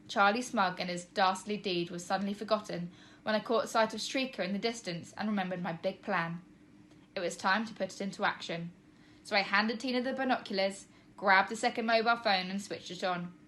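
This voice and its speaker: A woman's voice: medium pitch, a bit bright, slight background noise. Woman reading a children's storybook expressively. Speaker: teacher reading aloud.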